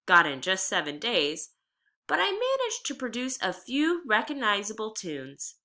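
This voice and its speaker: A woman teacher giving a read-aloud lesson, explaining deliberately and reading expressively. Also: on the low side, pushed and bright.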